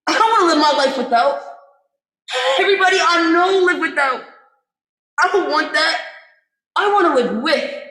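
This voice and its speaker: A woman plays a restless young man hungry for more from life. He talks in emphatic, rhythmic bursts. The voice is high and sounds roomy. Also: animated, normal speed, long pauses, bright, forceful tone, heavily acted. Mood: yearning. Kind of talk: theatre audition monologue. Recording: echoey room.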